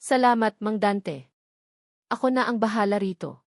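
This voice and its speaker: A woman's voice: mid-range voice, normal speed, vocal fry, dry recording, studio quality, natural voice. A woman voicing a stern, wealthy man with a blunt, accusing manner in an audio drama. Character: stern wealthy man confronting a servant with anger.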